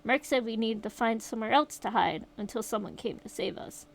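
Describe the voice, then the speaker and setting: A woman's voice: fairly high pitch, fairly quick, creaky, a little hiss or hum. A woman reading as a shaken young survivor. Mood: grim retelling. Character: shaken young survivor recounting a horror night.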